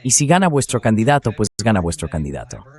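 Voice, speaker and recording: Low-pitched man explaining, AI-read voice-over. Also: big pitch swings, crowd noise. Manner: measured, explanatory, synthetic AI-read delivery. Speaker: interview guest, political commentator. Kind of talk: translated voice-over of explanatory political commentary.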